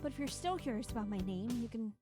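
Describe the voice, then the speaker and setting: A woman playing an easygoing young student gamer in a visual novel, relaxed and playfully teasing. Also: a little high, quick pace, close to an everyday voice. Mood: easy, teasing. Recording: music playing over the voice.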